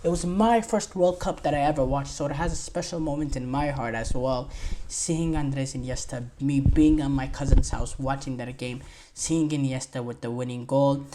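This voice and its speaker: A man's voice: medium pitch, normal speed, background noise. A young man of twenty hosts a laid-back solo sports podcast. He talks loosely and enthusiastically, stringing thoughts together with plenty of "um"s, "you know"s and false starts. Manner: rambling, filler-heavy, enthusiastic praise. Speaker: podcast host.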